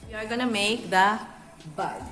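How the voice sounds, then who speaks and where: A woman's voice: medium pitch, moderate pace, fairly bright tone. A Filipino-accented woman, a cake decorating instructor, talks viewers through a hands-on craft tutorial in a conversational, instructive way. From Bacolod, Philippines. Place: indoor room, echoey. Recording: echoey room with music behind.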